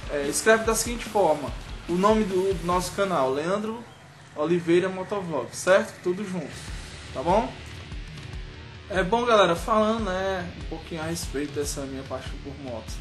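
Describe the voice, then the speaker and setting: Man, halting talk over music. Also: high-pitched, lively intonation. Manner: halting, frequent "né" tags. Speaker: motorcycle vlogger. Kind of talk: casual monologue to camera. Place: small room at home, indoors.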